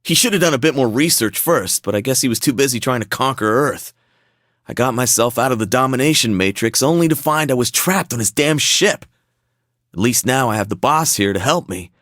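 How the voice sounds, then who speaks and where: A man's voice: mid-range voice, animated, fairly quick, a bit bright, no echo, studio quality, natural voice. An actor playing a determined male crew member in a video game, giving a scripted monologue. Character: male gang crew member trapped on alien ship, determined.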